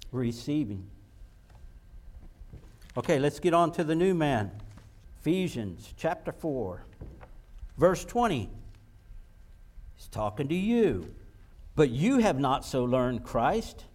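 A man preaching a sermon, reading scripture slowly and pointedly, then explaining it in casual asides and repeating key words for emphasis. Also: on the high side, darkish tone. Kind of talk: sermon, Bible teaching.

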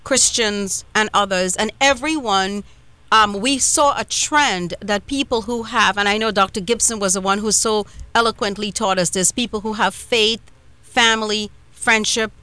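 A Trinidadian woman hosting a live radio talk show, chatty with quick asides. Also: medium pitch, bright, forceful tone, clear, resonant tone, dry recording. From Trinidad and Tobago.

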